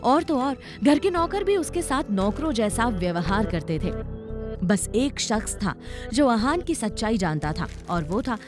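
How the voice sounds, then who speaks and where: A woman narrates a drama serial with dramatic flair. Her voice is fairly high and very animated, over a soft music bed. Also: unhurried. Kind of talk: dramatic scripted story narration.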